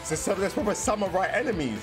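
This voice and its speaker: Animated young man reacting over loud music. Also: high voice, quick pace. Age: young adult. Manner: excitable slangy banter, swearing. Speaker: reaction video host and streamer. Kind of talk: casual reaction commentary. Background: game trailer music and sound.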